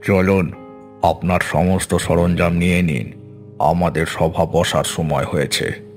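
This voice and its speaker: A man performs a menacing male attendant from a horror story, deep and gravelly. He gives orders with ominous courtesy, dragging out his words at a very slow pace. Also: fairly even intonation, soft background music. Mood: stern, commanding. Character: sinister, commanding male attendant of an eerie gathering. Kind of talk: horror audio story acting.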